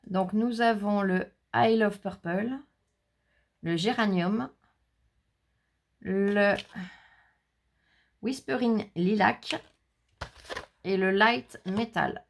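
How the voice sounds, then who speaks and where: A woman's voice: medium pitch, drawn-out words, gravelly, pauses often. A woman vlogging a scrapbooking haul, chatting casually as she reads out color names and numbers and jokes about herself. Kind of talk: casual haul video, showing products. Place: indoors, shutters closed against the heat.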